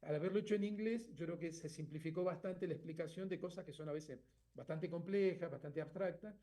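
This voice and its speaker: A man's voice: on the high side, fairly quick, warm tone. A middle-aged man explaining his own book as its author, thinking aloud and often checking in with the listener. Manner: thoughtful, checks in with frequent tag questions.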